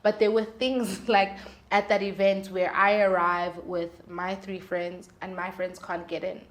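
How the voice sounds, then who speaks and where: A woman's voice: mid-range voice, flat delivery, measured pace. A woman, speaking as a musician guest in candid, conversational interview storytelling. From South Africa.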